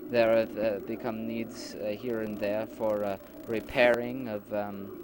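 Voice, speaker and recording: Man acting as an earnest, halting leader, very noisy recording. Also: lowish voice, level tone, close to an everyday voice. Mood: earnest, explanatory. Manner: halting, explanatory, formal wording with many hesitations. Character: earnest male leader explaining his new afterlife society. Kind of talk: amateur philosophical audio drama dialogue. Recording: noisy homemade 1990s amateur recording.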